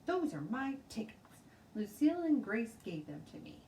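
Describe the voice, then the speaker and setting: Woman voicing an excitable, defiant little kindergarten girl. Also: on the high side, moderate pace, pitched above the performer's own voice. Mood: indignant. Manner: childish grammar, excitable, self-justifying. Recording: roomy, distant mic, faint noise.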